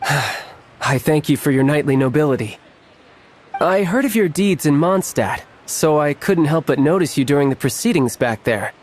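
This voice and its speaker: An actor playing a suave, playful young envoy in a game cutscene, smooth, teasing and glib. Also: male voice, fairly high pitch, animated, normal speed, a little hiss or hum, lightly acted. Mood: playful, reassuring.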